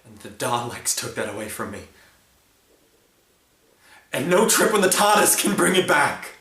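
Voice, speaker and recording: A man playing a brooding, grieving and angry alien time-traveller who lost his planet, in a halting improvised fan-film monologue. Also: lowish voice, fairly even intonation, fairly bright tone, close to an everyday voice. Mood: furious. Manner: halting, brooding, building to anger. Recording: roomy sound with faint hiss.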